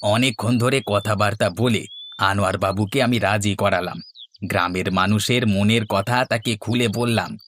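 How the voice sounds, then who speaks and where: Man playing an earnest low-voiced villager. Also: fairly even intonation, normal speed, a bit bright, slight background noise, natural voice. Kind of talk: Bengali cartoon dubbing.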